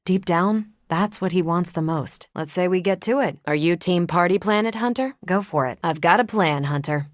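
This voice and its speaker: An American actress playing a confident, wry superhero and former military pilot in a video game, with a casual, teasing way of talking. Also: female voice, fairly low pitch, normal speed, few pauses, no room sound, studio quality, natural voice. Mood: assured, casual. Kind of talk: video game character dialogue.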